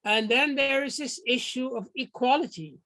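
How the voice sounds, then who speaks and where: An older man from Guyana giving a measured, formal lecture over a video call, often restarting his phrases. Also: very high-pitched, bright, forceful tone, dry recording. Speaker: guest lecturer.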